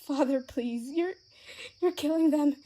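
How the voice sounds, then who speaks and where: A woman acting a gentle, sheltered young vampire daughter in an ASMR roleplay, speaking in a soft, earnest, hesitant way. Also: sits high, a little slow. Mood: desperate pleading. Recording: faint background noise with chirping crickets.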